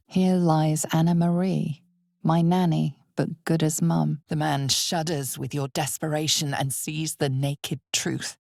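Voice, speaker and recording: A grave, all-knowing fantasy game narrator in a low, husky voice with a creaky edge. The delivery is deliberate and ominous, breathy and often close to a whisper, like dark storytelling told to the listener directly. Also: female voice, no echo, full character voice. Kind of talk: scripted dramatic second-person fantasy narration.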